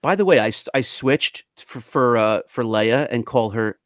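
A man's voice: medium pitch, moderate pace, no echo. A middle-aged man talking casually as a panelist in a group chat about collecting, close to the microphone. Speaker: panelist on a toy collecting show. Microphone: large microphone up close.

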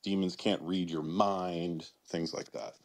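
A man's voice: low voice, fairly even intonation, slow. A man with a Chicago accent, talking bluntly and dismissively in a casual podcast discussion. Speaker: show regular.

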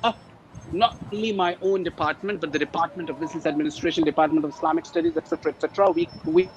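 A man whose first language is Urdu, an ESL teacher speaking over a video call in a halting, hesitant way. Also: a little high, fairly even intonation, slight background noise, rough recording. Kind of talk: panel discussion. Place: teaching abroad.

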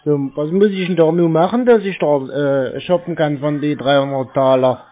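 Casting: man acting as clueless, colloquial male prank-call customer, fairly high voice, rambling, thin phone sound. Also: normal speed, lightly acted. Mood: naive, chatty. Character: naive, rambling, slow-witted male scam-call victim. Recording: tinny, muffled phone line.

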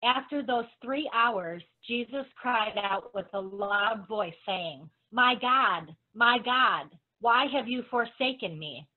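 A woman's voice: fairly high pitch, lively intonation, takes it slowly, few pauses. A woman playing a grieving, devoted follower of Jesus in a dramatic scripture reading. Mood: sorrowful. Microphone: video call. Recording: dull, lo-fi video-call audio.

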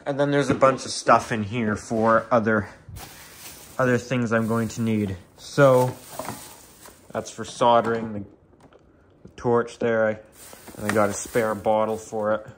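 A man's voice: lowish voice, level tone, a little slow, long pauses. Casual rambling man in an echoey room. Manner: rambling, informal, thinking aloud. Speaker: DIY video host. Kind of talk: casual unscripted show-and-tell. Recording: echoey room, faint background noise.

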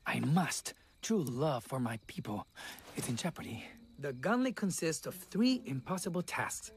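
An actor voicing a naive, earnest young fairy-tale prince with a dramatic, boyish manner in an animated comedy film. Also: male voice, a little high, normal speed. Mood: solemn, theatrical. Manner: dramatic, self-serious, boyish.

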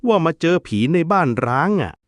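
A man's voice: high voice, expressive, a little fast, no room sound, clean studio sound. A man voicing a grumpy old uncle in a cartoon, who is paid to pretend to be a ghost and scolds noisy visitors. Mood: gruff. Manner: gruff, scolding, easily bribed. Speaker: cartoon voice actor.

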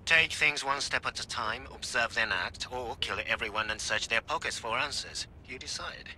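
An actor playing a stoic, deadpan ex-gangster assassin in a video game, terse and measured over a two-way radio. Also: male voice, medium pitch. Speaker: game character, lookout. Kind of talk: video game cutscene acting. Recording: radio transmission with faint noise.

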